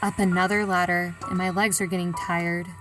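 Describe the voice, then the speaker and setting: A woman playing a frightened young woman who recounts an eerie ordeal in a radio horror drama, hushed with dread and anxious. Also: fairly low pitch, little pitch movement, moderate pace, music in the background, natural voice. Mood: tense, haunted.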